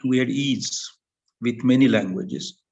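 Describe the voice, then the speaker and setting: An older man with an Indian English accent speaks at mid pitch with a gravelly edge. He gives a reflective academic talk, halting and full of pauses. The sound is slightly muffled and lo-fi. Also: darkish tone. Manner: halting, thoughtful, with many pauses and fillers. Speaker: panel speaker.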